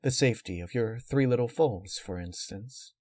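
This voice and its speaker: A man reads as a cold mare villain in a dark pony tale. The delivery is measured and lecturing, quietly menacing, at a medium male pitch with a very dry sound. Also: no echo, lightly acted. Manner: measured, matter-of-fact, lecturing. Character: cold, calculating female unicorn villain, calmly menacing.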